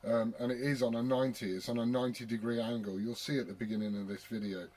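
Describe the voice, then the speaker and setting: A man's voice: mid-range voice, level tone, fast, darkish tone, poor audio. British man on CB radio, blokey. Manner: blokey, sweary. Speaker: CB radio operator and video maker. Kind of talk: casual radio chat.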